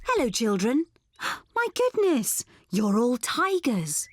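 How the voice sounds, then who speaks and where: A woman voicing a friendly grown-up animal in a children's cartoon, bright and welcoming. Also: a little high, wildly swooping pitch, slow, very noisy, full character voice. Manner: bright, welcoming, a little amused. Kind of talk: cartoon voice acting.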